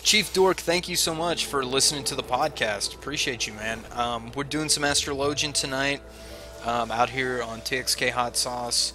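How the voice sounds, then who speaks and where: A man's voice: mid-range voice, normal speed. A man with a head cold and chesty cough, chatting to viewers in a rambling, self-mocking way while he plays a game. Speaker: streamer. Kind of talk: casual gameplay livestream chat. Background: game music.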